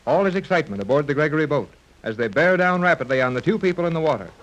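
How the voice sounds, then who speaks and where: A man's voice: on the high side, animated, rapid-fire, fairly bright tone, highs cut off. A man narrating a 1930s radio adventure serial from a script in period announcer style.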